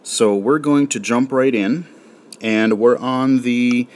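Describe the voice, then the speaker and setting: A man's voice: medium pitch, slow, a little mellow. A man casually walking through a mobile game and talking with lots of fillers and restarts. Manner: rambling, filler-heavy. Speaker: game reviewer. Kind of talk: casual spoken app walkthrough.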